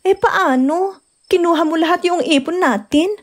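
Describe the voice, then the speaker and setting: A woman playing a young, caring but complaining provincial wife in an expressive radio drama. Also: very high-pitched, moderate pace. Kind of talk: Ilocano radio drama acting.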